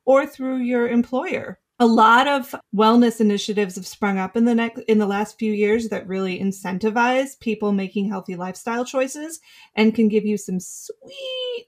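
A woman co-hosting a casual podcast who explains things in a measured way. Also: a little high, animated. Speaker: podcast co-host. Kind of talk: casual chat.

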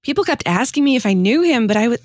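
A woman's voice: on the high side, animated, fast talker, dry recording, studio quality, close to an everyday voice. A woman acting a despairing, guilt-ridden male disciple in a radio sitcom, dramatic and slangy. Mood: anguished, guilty. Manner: dramatic, self-reproaching, casual modern slang.

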